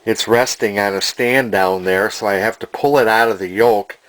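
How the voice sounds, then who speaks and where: A man in his sixties talking casually and haltingly as he hosts a hands-on restoration vlog from his workshop. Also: lowish voice, fairly bright tone, rough recording. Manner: halting, hesitant, rambling. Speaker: vintage TV restorer, video host. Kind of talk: casual spoken workbench vlog. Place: cluttered indoor home workshop.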